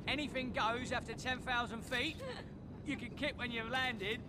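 An actor playing a flamboyant, excitable English party DJ in a video game, gushing showily in matey slang with an English accent. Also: male voice, extremely high pitch, moderate pace, background noise. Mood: exuberant, bossy. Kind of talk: video game cutscene acting. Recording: loud airport ambience behind voice.

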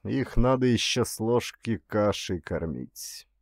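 A man voicing a hulking convict turned jail guard in an audiobook, with a slow, lazy drawl. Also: on the low side, animated, no room sound, studio quality. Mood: lazy contempt.

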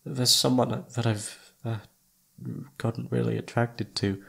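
Guy acting as a shy flustered young male incubus, slow halting talk, bit gravelly, romance asmr roleplay thing. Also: medium pitch, level tone, fairly bright tone, full character voice. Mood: nervous, evasive. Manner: stammering, hesitant, halting.

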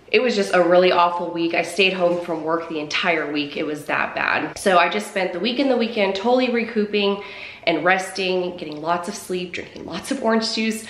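A woman's voice: lowish voice, reverberant. A young woman in her twenties, stuffed up and congested, chatting upbeat to camera at home for her vlog. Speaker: vlogger. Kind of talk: casual vlog talk to camera. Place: home, indoors. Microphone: camera mic at arm's length.

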